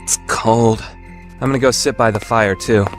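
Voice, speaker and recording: A man's voice: medium pitch, fairly even intonation, normal speed, soft background music, natural voice. A man playing a listless, laid-back teenage student council member in an anime-style radio play.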